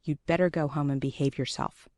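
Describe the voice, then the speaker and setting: A woman's voice: low voice, steady tone, fairly quick, a little mellow, pitched below the performer's own voice. A woman voicing a cold, stiff, prejudiced middle-aged mother in a storybook reading.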